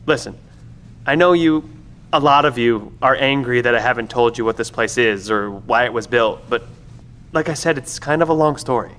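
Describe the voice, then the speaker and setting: Audio drama: weary, secretive male professor, played by a man, mid-range voice, measured explainer. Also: medium pitch, fairly bright tone, lightly acted. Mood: weary, reluctant. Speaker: professor, secret bunker guardian.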